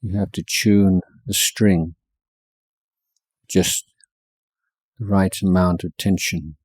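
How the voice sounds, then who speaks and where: A man guiding a meditation as a teacher, speaking in short measured phrases with long pauses between them. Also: deep voice, steady tone, a little mellow, breathy edge, dry recording. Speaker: Buddhist monk teaching meditation. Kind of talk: guided meditation instruction.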